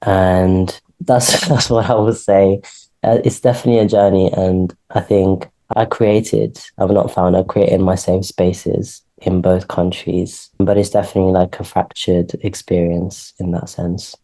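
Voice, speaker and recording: A man from Italy, a podcast guest, answering thoughtfully with pauses and fillers. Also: low-pitched, little pitch movement, mellow. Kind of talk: reflective interview answers.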